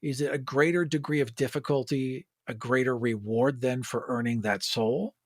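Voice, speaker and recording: A man with an average-pitched voice talks through ideas in a casual podcast chat. He is informal and agreeable, and the sound is dry and close. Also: steady tone, normal speed, no room sound. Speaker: podcast co-host and editor.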